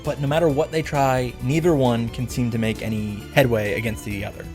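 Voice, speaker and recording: A man's voice: medium pitch, steady tone, a little fast. A man delivering a scripted comedic recap commentary in a wry, profane, mock-grandiose manner. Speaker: visual novel reactor and narrator. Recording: background music under the voice.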